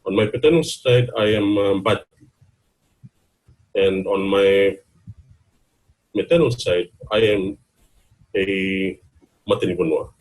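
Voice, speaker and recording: A man with a Fijian accent and a slightly shaky voice, giving an informal talk to an online gathering with hesitant pauses. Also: sits low, poor audio. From Fiji, Lau islands. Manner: hesitant, pausing mid-phrase. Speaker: guest speaker, university student. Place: online video meeting.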